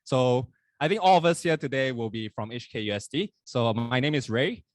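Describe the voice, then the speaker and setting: Relaxed, chatty young man co-hosting. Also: medium pitch, moderate pace, no room sound, clean studio sound. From not from Hong Kong. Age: 20s. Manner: relaxed, chatty, self-correcting. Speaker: podcast co-host. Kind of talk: casual chat at a live event. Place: live university event.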